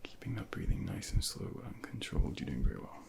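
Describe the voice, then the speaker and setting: A man softly whispering a medical ASMR role play as a junior doctor, gently reassuring and instructing, close to a binaural microphone. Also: a little fast. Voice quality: breathy whispering, gravelly.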